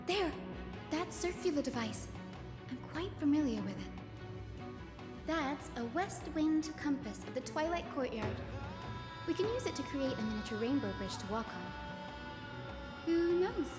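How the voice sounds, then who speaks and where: A woman's voice: high voice, expressive, a little slow, pauses often. A woman voicing a learned, composed young priestess in a fantasy video game, formal and articulate. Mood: curious, explanatory. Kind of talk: scripted video game voice acting. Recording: echoey reverberant hall, music behind.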